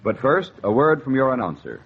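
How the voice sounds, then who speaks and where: A man's voice: medium pitch, very animated, fairly quick. A man announcing a radio show in a portentous, theatrical 1940s narration style. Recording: dull vintage 1940s radio recording. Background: organ music.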